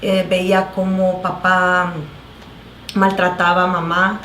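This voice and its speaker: A woman pastor from El Salvador with a Salvadoran Spanish accent, giving her testimony on a talk show in an earnest, halting way with many fillers and restarts. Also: on the low side, completely flat delivery, fairly bright tone, reverberant, a little hiss or hum. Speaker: pastor, guest.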